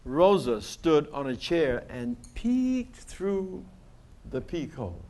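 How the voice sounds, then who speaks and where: Male storybook narrator: high-pitched for a man, very lively intonation, deliberate dramatic pauses. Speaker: storybook reader. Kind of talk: expressive children's story narration.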